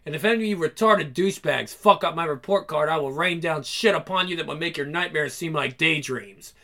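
A man's voice: a little high, fairly quick, vocal fry, bright, forceful tone. A man voicing a sadistic, foul-mouthed villain with a menacing, taunting, crude delivery. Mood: gloating menace.

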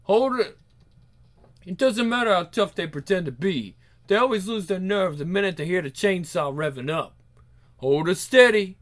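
A man's voice: high voice, expressive, normal speed, fairly bright tone. A man playing a thuggish mafia henchman, cocky and cruel, with a gloating, tough-talking manner.

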